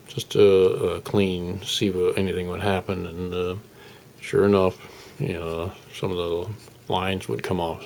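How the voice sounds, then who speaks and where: A man giving a casual, unscripted hands-on demonstration as a hobbyist, explaining in a halting, rambling, folksy way. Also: low-pitched, gravelly, darkish tone, slight background noise. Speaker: hobbyist radio restorer presenting his project.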